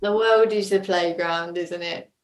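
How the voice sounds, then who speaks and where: A woman chatting casually as a podcast guest, telling stories in an animated, jokey way. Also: lowish voice, measured pace, slight background noise. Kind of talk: casual chat. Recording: roomy, echoey sound.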